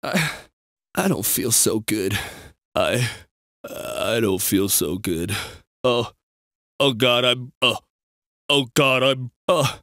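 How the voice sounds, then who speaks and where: A man's voice: mid-range voice, takes it slowly, long pauses, sharp tone, no room sound, studio quality. An actor voicing a jumpy, crude, sarcastic male bystander in a video game, with slangy, over-the-top delivery. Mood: queasy. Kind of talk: exaggerated game bark lines, each repeated.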